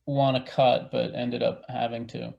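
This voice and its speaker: A man's voice: medium pitch, little pitch movement, measured pace, gravelly. A man chatting casually as a panelist on a video call. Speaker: artistic director on a discussion panel. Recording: slightly dull video call audio.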